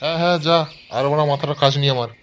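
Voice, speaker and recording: A man's voice: a little high, very fast, very noisy, natural voice. A man voicing a short-tempered, foul-mouthed village uncle in a comedy cartoon, brusque and scolding, with a rural West Bengal Bengali accent. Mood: irritated, scolding. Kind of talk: amateur comedy cartoon dialogue.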